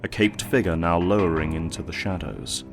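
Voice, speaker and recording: Man acting as solemn narrator, low voice, scripted audio drama storytelling, soft music behind. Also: normal speed.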